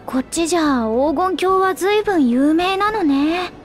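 A woman voicing a calm, questioning female traveller with measured speech in a video game. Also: very high-pitched, faint music behind, heavily acted. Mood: curious, doubting. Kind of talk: video game cutscene acting.

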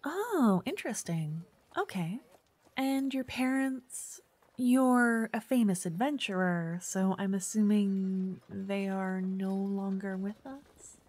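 Woman acting a soft-voiced, pushy, obsessive fangirl. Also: medium pitch, slow. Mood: curious, probing. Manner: chatty, coy, insistent, slipping into whispers. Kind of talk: ASMR roleplay.

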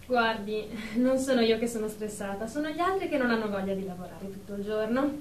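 A woman acting a haughty, high-voiced lady executive. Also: animated, a little fast, lightly acted. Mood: defensive. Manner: prim, self-important, defensive. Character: haughty, touchy female executive in her thirties, indignant patient. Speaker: actress in a radio drama. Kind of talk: radio drama acting. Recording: distant, echoey room with faint noise.

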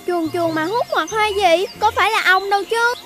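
Super high squeaky cartoon critter, eager and naive, southern vietnamese accent, loud music under it. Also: normal speed. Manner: excitable, questioning. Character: eager, naive young cartoon animal sidekick. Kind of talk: Vietnamese children's cartoon dubbing.

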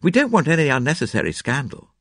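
A man voicing a hesitant, worried gentleman in a raised, fretful voice. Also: mid-range voice, wildly swooping pitch, quick pace, vocal fry, no room sound, studio quality, pitched above the performer's own voice, exaggerated voice.

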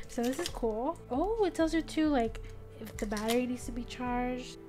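Bubbly high-voiced young woman reviewer. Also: unhurried, loud music behind the voice. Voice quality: soft voice. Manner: bubbly, chatty, frequent gasps and laughs. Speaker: product reviewer. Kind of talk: casual product review. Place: small home studio room, indoors. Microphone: studio mic on boom arm.

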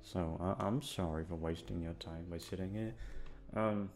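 A young man with a fairly low voice rambles casually to his listeners. He is hesitant and puts himself down, swearing freely as he searches for words. Faint music plays behind him. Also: fairly even intonation, moderate pace, a little mellow. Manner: self-deprecating, hesitant, sweary. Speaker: audio creator and voice actor.

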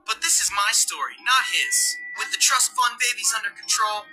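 An actor voicing a cocky, sarcastic teenage schoolboy in a video game, with dry wisecracks. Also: male voice, very high voice, normal speed, sharp tone, heavily acted. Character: cocky teenage boy, sarcastic new student. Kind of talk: video game cutscene acting and narration.

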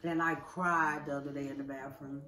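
A woman's voice: low-pitched, steady tone, moderate pace, echoey room, distant mic, a crowd in the background. A woman telling personal stories in an emphatic, profane, repetitive way in a casual street interview. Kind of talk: casual emotional personal storytelling.

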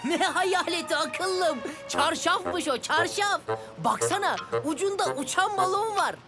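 A performer voicing a cheeky, teasing, excitable little sidekick in a children's cartoon. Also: very high voice, unhurried. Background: music.

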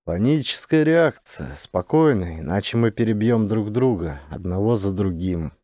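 A man's voice: on the low side, lively intonation, moderate pace, dark tone, dry recording, studio quality. A man voicing a weary, practical male officer in a science fiction audiobook. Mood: grim, controlled.

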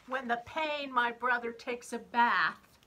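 Storybook woman performer as an aggrieved eight-year-old: bright, deliberate delivery, whiny and emphatic. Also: on the high side. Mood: exasperated. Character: jealous, indignant eight-year-old third grader griping about younger brother. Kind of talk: expressive children's picture book reading.

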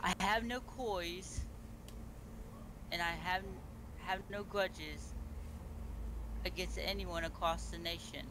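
A man playing a solemn beastman empress in online game role-play, making a formal, halting speech. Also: sits high, steady tone. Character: Empress Dragon, solemn ruler of fantasy beastmen. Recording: noisy amateur game voice chat.

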